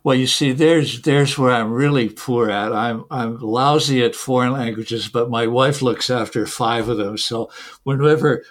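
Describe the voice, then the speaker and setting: A man's voice: mid-range voice, fairly even intonation, a little slow. Hesitant middle-aged Canadian-raised man. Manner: hesitant, repeats words, chuckles between points. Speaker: software developer guest. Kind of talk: casual interview answers.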